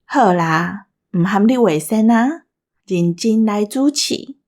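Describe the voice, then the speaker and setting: A woman's voice: medium pitch, animated, slow pace, a little mellow. A woman with a Taiwanese accent co-hosting a casual special, bossy and teasing in her banter. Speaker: co-host of a radio drama cast special.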